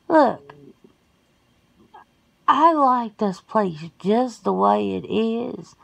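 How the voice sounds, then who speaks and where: A woman voicing a folksy, blunt, centuries-old werewolf homebody in an ASMR roleplay, suspicious and quick to exclaim. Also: mid-range voice, gravelly, fairly bright tone, pitched above the performer's own voice, full character voice.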